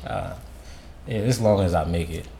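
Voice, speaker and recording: A young man in college co-hosts a laid-back podcast. His voice is very deep, and his talk is easygoing and full of slang. He chuckles often, with faint noise behind him. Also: normal speed, creaky, dark tone. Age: young adult. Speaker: podcast co-host, college student. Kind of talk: casual podcast chat.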